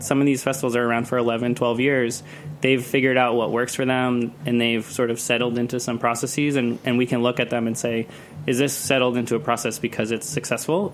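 Male interviewee, mid-pitched and level in melody, conversational explainer, peppers sentences with "like". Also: slight background noise. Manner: chatty, uses "like" often. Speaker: guest, cocktail festival organizer. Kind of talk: casual interview talk, explaining his work. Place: radio studio.

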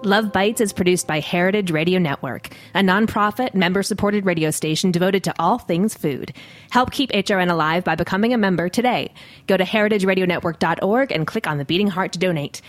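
A woman's voice: on the low side, fairly quick. A woman in her thirties co-hosting a live food radio show in a studio, chatty and teasing. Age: 30s. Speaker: co-host. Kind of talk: casual radio chat.

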